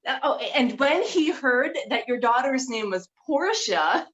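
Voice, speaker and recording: Dull recording, woman acting scheming seductress, high swooping voice, gushing, coaxing, comic stage reading. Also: very animated, unhurried, a bit bright, heavily acted. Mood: flattering, mocking. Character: Wanda, seductive, scheming romantic grifter.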